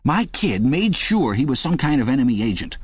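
A man's voice: mid-range voice, very animated, fairly quick, husky, no echo, voice pushed up. A hearty, overkeen middle-aged man, as a man puts him on chatters in a chummy, blustering way. The voice sits well above the narrator's own, and the recording is dry and close.

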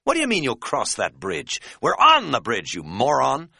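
A man's voice: on the low side, big pitch swings, a little fast, sharp tone, no room sound, studio quality. A man voicing an easily alarmed, sarcastic male camper in an audiobook. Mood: hysterical indignation. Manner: fussy, sarcastic, indignant. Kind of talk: audiobook character dialogue.

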